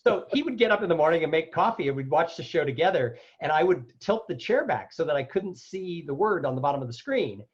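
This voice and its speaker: A man guest on a podcast interview, a loud, animated storyteller who acts out the voices of people he quotes. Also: on the high side, fast, few pauses, breathy edge, highs cut off. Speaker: guest, keynote speaker.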